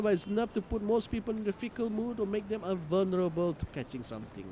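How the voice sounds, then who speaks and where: A man streaming a game, reading its story aloud in an expressive, theatrical way. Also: fairly high pitch, a little hiss or hum. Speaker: streamer playing a visual novel. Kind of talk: dramatic read-aloud narration with live reactions.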